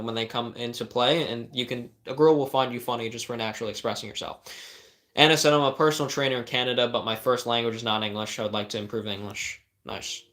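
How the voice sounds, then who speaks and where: A man's voice: medium pitch, pushed and bright. A young man, a life coach, reading viewers' questions on a livestream and answering with blunt, direct advice and rhetorical questions.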